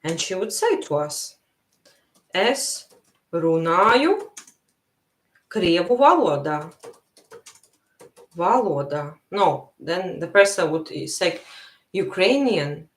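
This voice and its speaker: A woman with a Latvian accent teaching a live language lesson, slowly repeating phrases for her learners. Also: fairly low pitch, sing-song, roomy sound.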